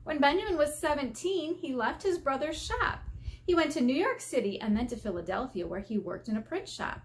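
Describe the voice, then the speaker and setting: An American woman reading a picture book aloud as an elementary teacher, expressive and teacherly, stressing key words and putting questions to her young listeners. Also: high voice, brisk, slight background noise. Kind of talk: children's read-aloud with explanatory asides.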